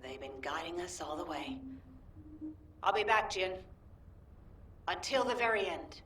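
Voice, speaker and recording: A woman's voice: lowish voice, lively intonation, measured pace, a bit bright, a little hiss or hum. A woman voicing a determined, grave and defiant captain in a video game. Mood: grave resolve. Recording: echoing, distant from the mic.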